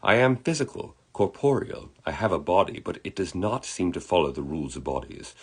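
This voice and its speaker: A genteel British gentleman from beyond the grave, acted out by a man reading a story. He speaks in a husky voice, courteous and reflective, with a wistful, eloquent turn to his words. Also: low voice, very animated, a little fast, full character voice. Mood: earnest, reassuring. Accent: British, put on. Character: genteel, wistful British dead man, friendly spirit in human body.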